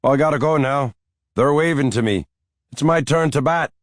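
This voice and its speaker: A man doing a mischievous old grandfather, pitched well above a low, dry reading voice. He talks in a chatty, teasing way, in an audiobook. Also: very animated, moderate pace, dry recording. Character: elderly Irish grandfather, wry and teasing.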